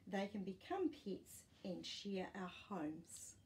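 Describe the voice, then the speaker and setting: A woman, reading a children's book aloud in a deliberate, explanatory way with asides. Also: mid-range voice, very animated, darkish tone, poor audio. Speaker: storytime presenter reading to children. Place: small living room, indoors. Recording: faint room noise.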